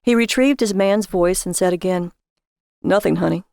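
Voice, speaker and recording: A woman's voice: mid-range voice, moderate pace, darkish tone, clean tone, no echo, studio quality. A woman reading scripted narration as the storyteller of a comic horror short story.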